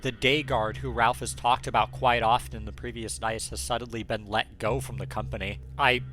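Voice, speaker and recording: A man's voice: medium pitch, steady tone, normal speed, sharp tone. A man giving scripted, suspenseful narration as a video essayist. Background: background music.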